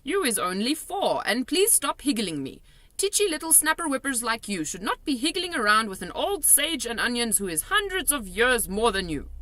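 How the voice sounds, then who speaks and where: A woman's voice: a little high, big pitch swings, moderate pace, bright, forceful tone, no room sound, pitched above the performer's own voice. A woman plays a kindly old giant from a children's storybook. He speaks in a raised, swooping voice, earnest and emphatic. His grammar is muddled and peppered with nonsense words. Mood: mildly scolding.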